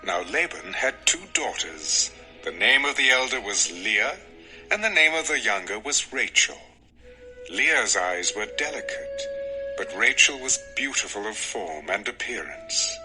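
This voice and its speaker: Low, animated male scripture narrator. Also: a little slow, soft background music, low-quality recording.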